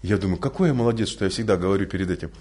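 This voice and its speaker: A man who is not a native Russian speaker giving a spiritual lecture, full of rhetorical questions and lively storytelling. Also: low-pitched, very fast. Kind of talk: recorded religious lecture.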